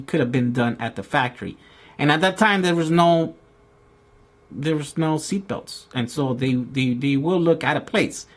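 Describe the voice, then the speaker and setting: Brazilian-born man, slightly high voice, rambling vlogger answering live questions, lots of restarts. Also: fairly bright tone. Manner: rambling, many restarts and fillers. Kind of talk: casual live Q&A chat. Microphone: outdoor camera with mic.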